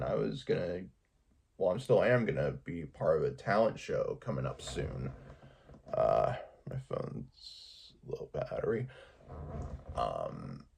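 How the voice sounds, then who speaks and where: A man talking casually to his viewers in a personal vlog, hesitant and halting, often repeating his words. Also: sits low, gravelly, a little mellow, breathy edge. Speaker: video creator talking to viewers.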